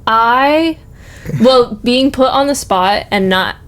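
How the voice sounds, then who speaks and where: A woman's voice: on the high side, lively intonation, slow pace. A woman chatting casually as a podcast co-host, with a giggly, reactive manner. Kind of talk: casual chat.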